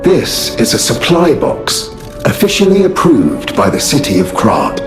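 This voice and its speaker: Game's puppet butler: polite, precise, formal, animated melody, roomy echo. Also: male voice, mid-range voice, measured pace. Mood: courteous. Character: courteous, formal puppet butler serving hotel guests. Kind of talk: game character exposition. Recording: echoey room, faint music behind.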